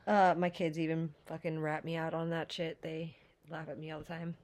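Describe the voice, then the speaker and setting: A woman's voice: deep voice, level tone, moderate pace, darkish tone. A woman in her thirties from Wisconsin with a light Midwestern accent, co-hosting a casual podcast in a blunt, profane, laid-back way. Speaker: podcast co-host. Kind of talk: casual chat.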